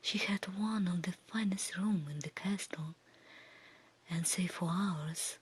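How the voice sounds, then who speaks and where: A woman reading a fairy tale as ASMR in a soft, breathy, soothing whisper. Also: on the low side. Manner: slow, hushed, soothing delivery. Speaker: ASMR storyteller.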